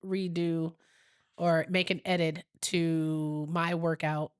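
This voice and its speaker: A woman's voice: sits low, little pitch movement, slow pace, smooth. A woman co-hosting a podcast in casual chat, rambling and laughing easily, her nose a little stuffy. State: slightly stuffy from a sinus infection. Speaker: podcast co-host.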